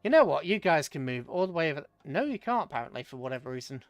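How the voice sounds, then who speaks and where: A man's voice: a little high, sing-song, fast talker, no room sound. A British man casually commentating a strategy game on a livestream, chatting with viewers and muttering rambling asides to himself as he plays.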